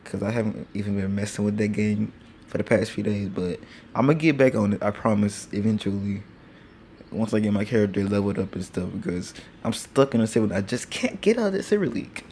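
A man's voice: on the low side, a little fast, a little mellow, a little hiss or hum. A teenage boy casually rambling through commentary over a mobile game, with lots of fillers, recorded on a phone at home. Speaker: gaming channel host. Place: home with train passing outside. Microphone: phone screen recorder.

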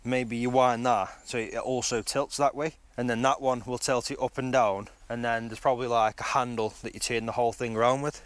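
A man's voice: medium pitch, fairly even intonation, brisk, few pauses, dry recording. A man, chatting casually and thinking aloud as he explores outdoors, recorded on a phone held at arm's length. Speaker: vlogger. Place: outdoors in a forest.

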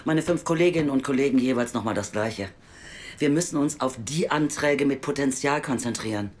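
A weary, scornful female welfare official in an audio drama. Her voice sits very low for a woman. She lectures bluntly and sardonically, as someone who has heard every story before. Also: a little fast, darkish tone, a little hiss or hum. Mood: cool, firm. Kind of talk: naturalistic audio drama acting.

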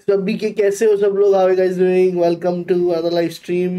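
Man, thirties, Indian accent, gaming streamer, slightly high pitch, dark mellow tone, informal viewer chat. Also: little pitch movement, slow. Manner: informal, code-switches between Hindi and English. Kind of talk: casual livestream chat, reading and answering viewers. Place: small gaming room indoors.

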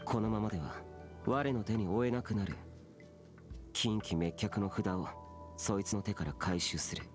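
A man plays a youthful immortal guardian from an anime game, aloof and stern. The voice is low, solemn and curt, with music behind it. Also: normal speed, natural voice. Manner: curt, solemn, archaic speech.

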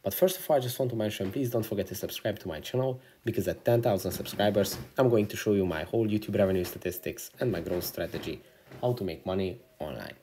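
A man's voice: on the low side, fast, warm tone, a little hiss or hum. A man narrating a how-to tutorial as the host, in a scripted instructional style.

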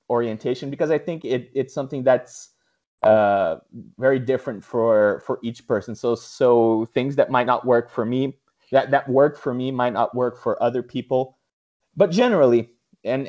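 A man's voice: medium pitch, a little mellow. A young man on a video call talking with many fillers and restarts, recorded indoors through earphones. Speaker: interviewer. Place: small room indoors. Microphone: earphone headset on video call. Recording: dull lo-fi video call audio.

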